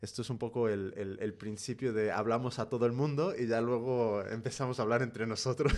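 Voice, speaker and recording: A man's voice: mid-range voice, a little fast, a little mellow. A man hosting his own podcast, talking informally with frequent stammers and restarts. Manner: stammering, informal, laughs easily. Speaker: podcast host. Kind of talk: casual podcast chat.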